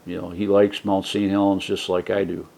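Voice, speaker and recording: A man with a very low, deep voice talks softly and casually to his viewers. He rambles, circling back with fillers and restarts, in a relaxed home vlog set-up on a desk mic. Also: normal speed, vocal fry. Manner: soft-spoken, rambling with many fillers and restarts. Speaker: YouTube channel host. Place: trailer home. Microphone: desk mic set for a soft voice.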